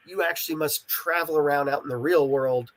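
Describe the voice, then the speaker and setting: A man's voice: a little high, fairly even intonation, a little fast. A talkative man explaining his creative work in a casual interview, stressing points by repeating them. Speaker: interviewed writer and creator of interactive audio dramas.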